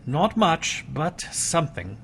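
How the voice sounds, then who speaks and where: An actor playing a dry, methodical police inspector in a period radio crime drama, deliberate and authoritative. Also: male voice, fairly high pitch, sing-song, gravelly, fairly bright tone, a little hiss or hum, exaggerated voice.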